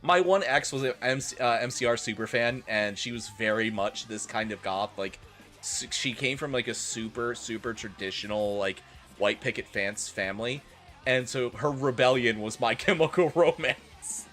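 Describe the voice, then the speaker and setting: A man's voice: medium pitch, moderate pace, fairly bright tone. A man livestreamer doing a theatrical, joking dramatic reading with casual, profane asides to his chat, over music. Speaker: livestreamer and host.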